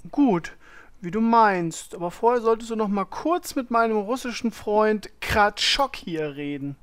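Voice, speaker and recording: A man's voice: high-pitched, lively intonation, a little slow, clean tone, no echo. A man playing a smug, threatening comic character in a parody audio drama. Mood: smug threat.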